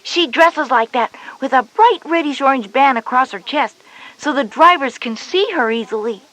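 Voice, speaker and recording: A woman's voice: sits high, animated, normal speed, a bit bright, rough recording. A woman voicing a knowing, patient schoolgirl big sister who explains things in a children's educational story. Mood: patient, instructive. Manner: explanatory, instructive. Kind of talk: scripted children's educational cassette dialogue.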